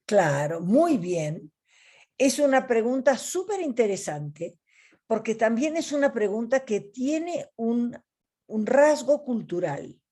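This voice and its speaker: A woman from Argentina with an Argentine accent, leading an online coaching ethics class in a deliberate teaching manner. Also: a little high, big pitch swings, dark tone. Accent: Argentine Spanish. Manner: slow, deliberate teaching with pauses.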